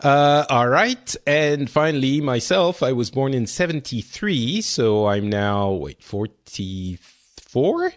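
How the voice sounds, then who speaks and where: A man's voice: medium pitch, big pitch swings, slow. A man in his forties from France, hosting a casual podcast discussion in a chatty, self-interrupting way. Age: 40s. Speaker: podcast host.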